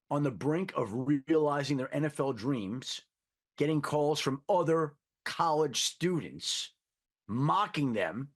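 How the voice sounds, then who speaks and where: A man speaking as a sports commentator, choosing his words in a measured, deliberate way. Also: on the high side. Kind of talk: interview commentary.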